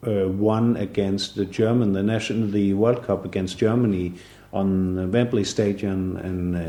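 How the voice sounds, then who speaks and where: A man in his sixties from southern Denmark, a native Danish speaker, talking in a relaxed interview with many pauses, fillers and restarts. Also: lowish voice, roomy sound. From southern Jutland, Denmark. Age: 60s. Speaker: artist interviewee.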